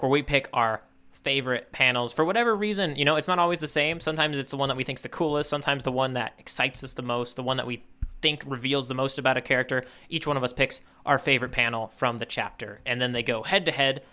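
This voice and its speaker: A man's voice: mid-range voice, quick pace, pushed and bright. A man co-hosting a casual manga readalong podcast, chatting with playful, teasing banter. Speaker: podcast co-host.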